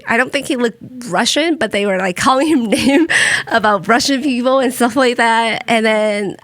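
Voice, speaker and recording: A woman's voice: a little high, normal speed, dry recording. A young woman from Vietnam, a podcast guest telling personal stories in a reflective way, with many fillers and restarts. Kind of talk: personal storytelling in conversation.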